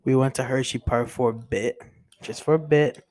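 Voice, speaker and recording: A man's voice: mid-range voice, fairly even intonation, normal speed, darkish tone. A man with a New York and New Jersey accent, rambling casually in slangy vlog chat from his room. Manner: slangy, rambling, says bro a lot. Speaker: vlogger. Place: bedroom in a rented cabin.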